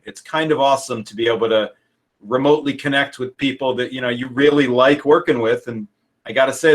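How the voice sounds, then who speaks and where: A man's voice: medium pitch, a bit bright. A man hosting a conference over a video call, speaking earnestly and invitingly and often repeating words as he goes. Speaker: conference host. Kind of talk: casual conference talk.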